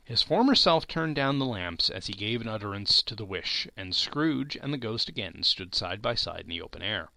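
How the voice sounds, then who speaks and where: Animated, theatrical male storybook narrator. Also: lowish voice, vocal fry, no echo. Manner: theatrical, expressive, deliberate phrasing. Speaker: audiobook narrator, voice actor. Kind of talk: dramatic scripted storybook narration.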